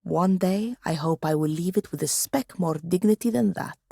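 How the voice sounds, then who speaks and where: A woman's voice: on the low side, moderate pace, vocal fry, mellow, husky, no room sound. A woman playing a proud, sardonic young woman wizard in a video game, speaking formally with dry wit. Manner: dry, wry, formal phrasing. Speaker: game companion character. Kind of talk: scripted video game dialogue.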